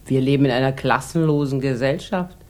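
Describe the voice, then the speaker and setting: An actress playing a jaded, hard-drinking, sharp-tongued woman in a 1960s German radio crime drama, with an ironic, languid manner. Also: rumbling low, fairly quick. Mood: wry, reminiscing.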